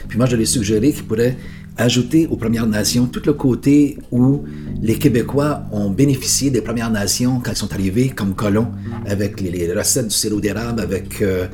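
A man talking in a casual interview, explaining his ideas earnestly and thinking aloud as he goes. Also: mid-range voice. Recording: guitar music playing behind the voice.

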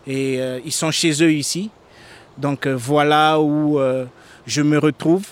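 A man's voice: a little high, fairly even intonation, clear, resonant tone. A middle-aged man of Congolese origin, a singer-songwriter, speaking earnestly to a live audience between songs. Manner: unhurried, earnest spoken asides to the crowd. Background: guitar playing.

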